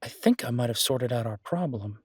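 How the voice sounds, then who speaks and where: Casting: male actor as affectionate, laid-back restaurant owner for boyfriend roleplay audio; mid-pitched, casual, chatty delivery. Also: expressive, moderate pace, slightly rough, no room sound, clean studio sound. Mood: musing, persuasive. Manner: casual, chatty, thinking aloud. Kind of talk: boyfriend roleplay audio drama, one-sided conversation.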